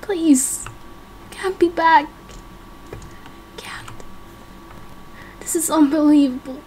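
Girl doing a scared little boy for a game roleplay, high voice, hesitant n pleading. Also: extremely slow, darkish tone, slight background noise, rough recording. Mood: panicked, desperate. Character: frightened, pleading young boy held captive. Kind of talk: amateur game roleplay acting.

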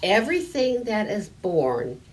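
A gentle old storybook grandfather, read by an older woman, patiently reassuring and explaining. The delivery is slow and lively in pitch, heard a little off from the microphone. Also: far from the mic, slight background noise.

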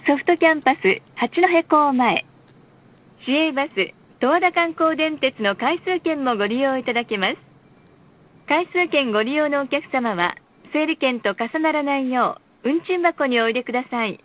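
Female bus announcer, very high voice, formal and measured, heard through a narrow lo-fi onboard speaker. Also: lively intonation. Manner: polite, formal, measured enunciation. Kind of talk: scripted bus stop announcements and ad reads. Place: recorded announcement played inside a bus. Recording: muffled, lo-fi bus speaker recording.

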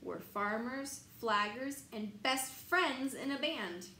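Expressive high-voiced young woman reading aloud. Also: slow pace. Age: young adult. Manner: expressive, sing-song, teacherly with emphasized words. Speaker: picture book reader. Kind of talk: read-aloud children's bedtime story. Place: small glassed-in sunroom. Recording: roomy echo, light background noise.

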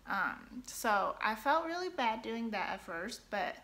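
A young woman from Hong Kong giving casual business tips in a relaxed, chatty way, with many ums, filmed at close range in a bedroom. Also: a little high, a little slow. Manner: chatty, many ums, talks with her hands. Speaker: online boutique seller. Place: bedroom, indoors, seated on a sofa.

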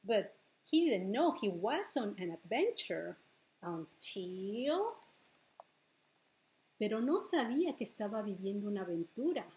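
Middle-aged woman, slow pace, medium pitch, storytime read-aloud, deliberate phrasing for preschoolers. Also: big pitch swings, darkish tone, rough recording. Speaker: children's librarian, storytime host. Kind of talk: bilingual picture-book read-aloud for preschoolers. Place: living room at home, indoors.

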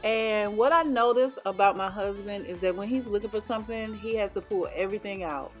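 A woman's voice: medium pitch, brisk, soft background music. Chatty woman narrating her home chores. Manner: chatty, explaining with frequent ums. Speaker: home vlogger. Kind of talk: casual voice-over narration.

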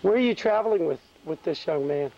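A man's voice: on the high side, expressive, a little fast, gravelly, warm tone. A man casually asking questions from behind a home video camera. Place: outdoors at a woodland shelter. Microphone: behind the camcorder. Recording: dull, slightly noisy camcorder audio.

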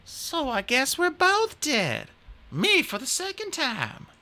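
A woman doing a brave teenage girl in a storybook, her voice pitched high and bright with lively swings. She sounds earnest, young and a little breathless. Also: takes it slowly, heavily acted. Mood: glum.

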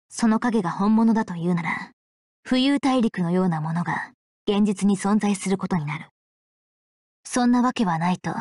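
A woman playing a composed, commanding young female leader in an anime visual novel game, with measured, formal, authoritative speech. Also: mid-range voice, darkish tone, dry recording, studio quality, natural voice. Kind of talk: Japanese visual novel acting and narration. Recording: background music under the voice.

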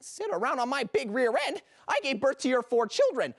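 Comedy role: actress as bickering elderly wife, scolding, big pitch swings, close dry sound. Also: female voice, huge pitch swings, normal speed, dry recording. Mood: indignant. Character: sharp-tongued old wife, mother of four.

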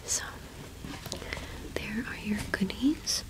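A young woman playing a kind, welcoming cottage witch in a whispered ASMR roleplay, speaking softly and reassuringly without hurry. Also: medium pitch, natural voice, low-quality recording. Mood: kindly, welcoming. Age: young adult. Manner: soft, unhurried, gently reassuring. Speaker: ASMR performer.

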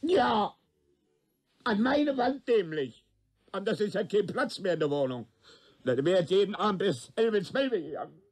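An actor playing an evasive, flustered tenant under questioning in a radio crime drama, hesitant and colloquial. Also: male voice, high voice, expressive, measured pace, pauses often, husky, full character voice. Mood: agitated, defensive. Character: nervous, evasive male witness, a nosy tenant turning flustered.

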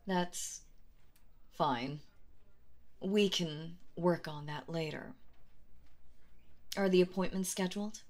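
A woman performs a cool, possessive female boss in an intimate ASMR roleplay. Her voice sits a little low and moves very slowly, hushed and deliberate. She is commanding and flirtatious, addressing the listener with total composure. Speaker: voice actress.

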